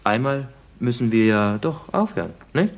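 An actor playing a witty, cheeky young actor in a theatrical, ironic comedy radio play style of the 1960s. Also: male voice, mid-range voice, very animated, normal speed. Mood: brisk, wry.

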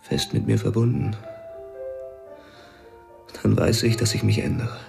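Casting: melancholy young addict musician, radio monologue, heavy fry, flat dreamy delivery, faint music behind. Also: male voice, fairly low pitch. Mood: drowsy, melancholy. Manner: halting, dreamy inner monologue. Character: young drug-addicted male musician, drifting, sad, introspective.

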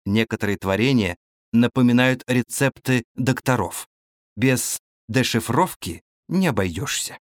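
A man narrates a practical advice audiobook at a medium pitch. His intonation is animated and varied, and he speaks straight to the listener like an instructor. The recording is dry, with no room sound. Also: a little slow, no echo. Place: recording studio.

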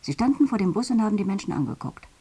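An actress in a radio drama playing a quietly haunted witness, telling her account plainly and vividly in a husky voice. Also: medium pitch, sing-song, rapid-fire. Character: woman recounting villages evacuated after radioactive contamination, quietly haunted. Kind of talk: testimony monologue in radio drama.

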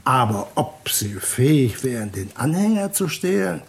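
Formal old-fashioned butler, noisy recording. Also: male voice, medium pitch, big pitch swings, creaky. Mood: disapproving. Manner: formal, measured, servile politeness. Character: old-fashioned, deferential English butler, ex-soldier, disapproving of youth. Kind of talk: German radio crime drama acting.

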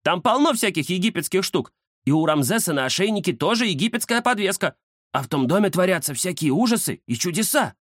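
A man voicing a chatty, mischievous young cat with an excitable, teasing manner in a children's story. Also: sits high, brisk, dry recording, clean studio sound, voice pushed up, full character voice. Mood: excited, cheeky. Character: cheeky chatty round-faced young male cat, mischievous.

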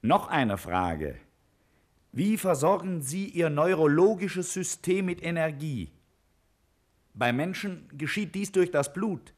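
An actor playing a curious, kindly scientist in a 1950s radio play, with a deliberate, inquiring manner. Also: male voice, on the high side, very animated, exaggerated voice. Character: curious, attentive male scientist, kindly colleague.

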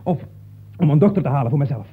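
Very husky, rattled novelist, phone-like sound. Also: male voice, a little high, animated, fast talker. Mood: firm, exasperated. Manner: curt, hesitant, exasperated. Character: rattled, irritable male novelist, curt and weary. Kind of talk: Dutch radio play acting. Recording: muffled phone-like old radio recording.

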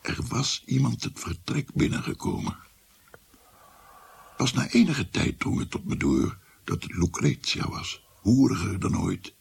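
An actor playing a shaken old grandfather who recounts a haunting memory in a dramatic, halting radio play narration. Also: lowish voice, expressive, full character voice. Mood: grave, haunted. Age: older. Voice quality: husky, gravelly.

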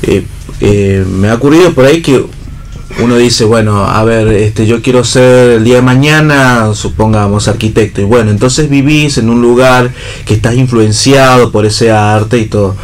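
A man's voice: medium pitch, moderate pace, a little hiss or hum. A man with an Argentine accent reflects casually in a radio panel, hesitating often as he searches for words. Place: radio programme with background ambience.

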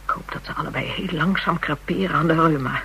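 A woman's voice: sits low, fast talker, bright, forceful tone, a little hiss or hum. A woman playing a bitter, hard-bitten young call girl in a radio drama, coarse and defiant.

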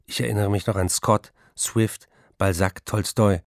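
An actor playing a reflective writer who recalls his bookish childhood in measured, literary phrases for a radio play. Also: fairly low pitch, fairly even intonation, no echo, studio quality. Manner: measured, literary first-person recollection.

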